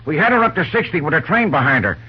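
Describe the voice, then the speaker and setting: An actor playing an eager, bossy young railroad hand who uses folksy slang and a hurried manner, in 1940s radio acting. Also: male voice, fairly high pitch, big pitch swings, breathy edge. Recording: dull old radio recording with faint hiss.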